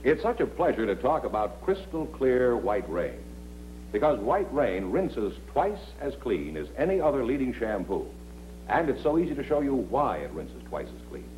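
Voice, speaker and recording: A man's voice: mid-range voice, very animated, moderate pace, a little mellow, soft background music, rough recording. A man working as the show's announcer, reading sponsor copy in a polished announcer style. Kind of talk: radio-TV announcer and sponsor read.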